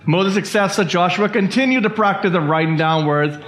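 A man's voice: sits high, fairly quick, a bit bright. A man from the Bahamas, teaching a church Bible study and often checking in with his listeners. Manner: keeps checking in with listeners, "all right?". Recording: dull lo-fi recording.

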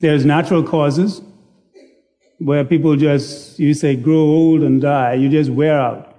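A man preaching a sermon in a slow, deliberate, self-deprecating manner. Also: a little high, fairly even intonation, mellow. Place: church sanctuary.

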